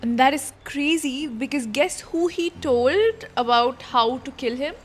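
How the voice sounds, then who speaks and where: Chatty, high-voiced woman streaming a game. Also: expressive, unhurried. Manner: chatty, informal, exclamatory. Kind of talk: casual live playthrough reaction commentary. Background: game music.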